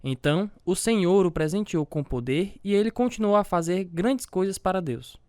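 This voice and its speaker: A man's voice: high-pitched, normal speed, darkish tone, clean tone, no room sound, studio quality, close to an everyday voice. A man acting as a devout, self-doubting family man, narrating and talking in an earnest, confessional way with a Brazilian accent in a religious radio drama. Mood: earnest, troubled.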